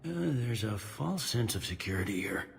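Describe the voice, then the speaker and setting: An actor playing a blunt, weary, middle-aged security captain on a space station in a video game, speaking in a gruff, wry, plain-spoken way and laughing off questions. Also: male voice, on the low side, sing-song, normal speed, slight background noise.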